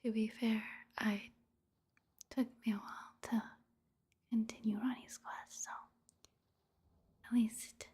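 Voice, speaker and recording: A woman giving soft-spoken ASMR game commentary in a hushed, breathy whisper, in a gentle, casual, unhurried manner. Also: a little high, little pitch movement. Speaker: gaming ASMR video host.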